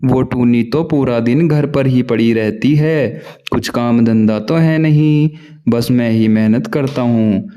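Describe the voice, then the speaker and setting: A man voicing a grumbling, hardworking male crow husband in a cartoon, with a gruff, scolding manner. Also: mid-range voice, slow, dark tone, muffled recording, natural voice. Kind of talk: cartoon voice acting.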